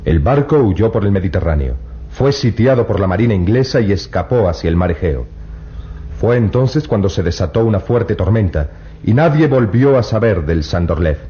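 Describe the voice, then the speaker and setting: An actor playing an earnest, persuasive young treasure hunter in a vintage radio drama. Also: male voice, fairly low pitch, expressive, normal speed, dark tone, close to an everyday voice. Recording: dull lo-fi old radio recording.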